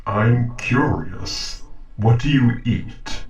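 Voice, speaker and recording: A man's voice: medium pitch, muffled recording. A man voicing a polite, logical robot in a scripted audio roleplay, speaking formally and precisely. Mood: curious. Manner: formal, measured, precise diction. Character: polite, logical humanoid robot interrogating a captive human. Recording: roomy echo, distant from mic.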